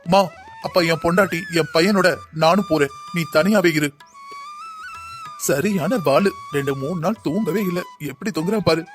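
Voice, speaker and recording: A man's voice: fairly high pitch, moderate pace, soft background music, close to an everyday voice. A man voicing a kind but stubborn young husband in a cartoon drama, plain-spoken and placating. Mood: firm. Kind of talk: Tamil cartoon drama dialogue.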